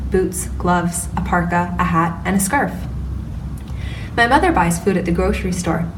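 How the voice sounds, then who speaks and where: A woman's voice: fairly low pitch, lightly acted. A woman doing a friendly boy from the frozen north. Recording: distant mic with background noise.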